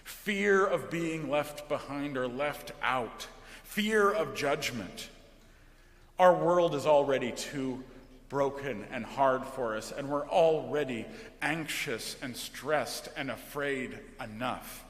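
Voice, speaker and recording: Slow-paced American pastor in echoey church. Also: male voice, mid-range voice. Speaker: pastor preaching. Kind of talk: sermon. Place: large indoor church during worship. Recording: echoey church hall with long reverb.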